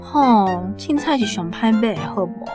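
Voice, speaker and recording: A woman's voice: a little high, expressive, normal speed, lightly acted. A woman with a Taiwanese accent playing a fretful but accommodating office colleague in a radio drama. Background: music.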